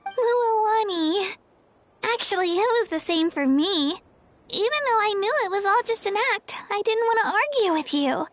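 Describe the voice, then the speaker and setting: A woman voicing a sweet, earnest little girl in a video game, gentle and eager. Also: very high-pitched, lively intonation, moderate pace, no echo. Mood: earnest, apologetic. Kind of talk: video game character acting.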